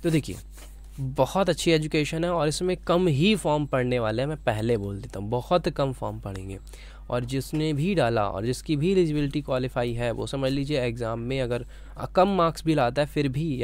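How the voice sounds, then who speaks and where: A man's voice: mid-range voice, fairly quick, a little mellow. A man teaching informally as an explainer, repeating points and often checking in with short tags. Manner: repetitive, checking in with "okay" tags. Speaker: teacher explaining an exam notification.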